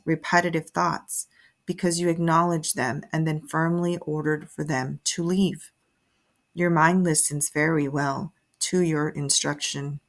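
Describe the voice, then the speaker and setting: A woman narrating a guided sleep meditation in a soothing, measured, instructive way. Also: low voice, vocal fry. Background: soft music.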